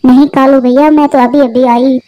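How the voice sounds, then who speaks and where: A woman playing a gentle, earnest young mother bird in a Hindi cartoon. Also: sits high, fairly even intonation, moderate pace, lo-fi sound, natural voice. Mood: distressed.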